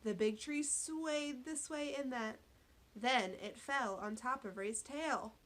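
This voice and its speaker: A woman teaches young children to read in a bright, fairly high voice. She goes slowly and deliberately through a story and its sounds, spelling words out and putting questions to her listeners. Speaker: elementary school teacher. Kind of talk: read-aloud phonics lesson for young children.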